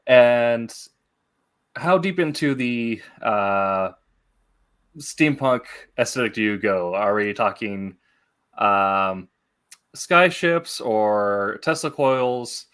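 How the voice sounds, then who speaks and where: A man hosting a casual interview show, speaking in a halting, hesitant way. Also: mid-range voice, animated, fairly bright tone, clean tone. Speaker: show host.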